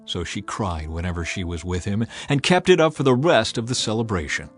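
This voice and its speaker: A man narrating a dramatized scripture audiobook as an expressive storyteller. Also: fairly low pitch, brisk. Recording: music behind the voice. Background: music.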